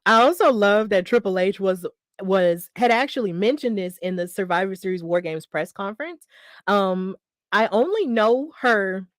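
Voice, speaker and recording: A woman's voice: medium pitch, no echo, studio quality. A woman hosting a solo wrestling news podcast, talking in a chatty, upbeat way with lots of fillers and self-corrections. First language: English. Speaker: podcast host.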